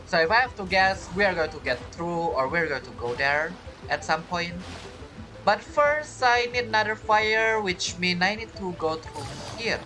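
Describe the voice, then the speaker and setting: Woman gamer, casual commentary: lowish voice, animated intonation, rambling self-questioning, game music underneath. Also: normal speed, poor audio. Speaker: gamer, video creator.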